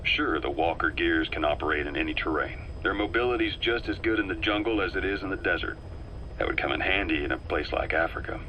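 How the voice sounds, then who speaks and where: An actor playing a shrewd male intelligence officer who talks in a measured, persuasive and faintly sardonic way. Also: low-pitched, highs cut off, a little hiss or hum, close to an everyday voice. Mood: analytical. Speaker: advisor on a recorded tape. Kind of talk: video game voice acting.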